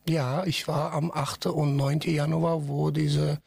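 A man from Iran, a podcast guest talking haltingly with many fillers. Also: fairly high pitch, little pitch movement, gravelly, a little mellow, breathy edge, no echo, clean studio sound. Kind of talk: casual podcast interview.

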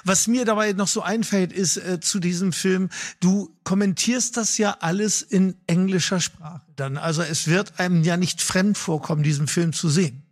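High-voiced chatty male host. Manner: chatty, drawn-out questions with fillers. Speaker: interview host. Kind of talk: casual interview talk.

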